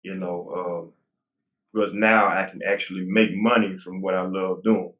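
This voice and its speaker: A man's voice: low-pitched, normal speed. A man in his forties, a guest bodybuilder, talking casually and rambling with many restarts. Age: 40s. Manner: relaxed, rambling with frequent restarts. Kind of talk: casual interview talk. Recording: tinny phone-like line, roomy echo.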